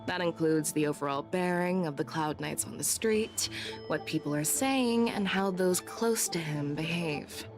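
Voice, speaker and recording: A woman's voice: low-pitched, unhurried. An actress playing a bold female general in a video game, commanding, blunt and nonchalant. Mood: blunt, assured. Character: bold, brisk, self-assured female general and warrior. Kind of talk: scripted game voice acting. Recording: game music behind.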